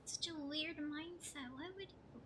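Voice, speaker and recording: A woman's voice: high voice, steady tone, unhurried. A woman game streamer giving casual live reaction commentary, thinking aloud and often asking questions.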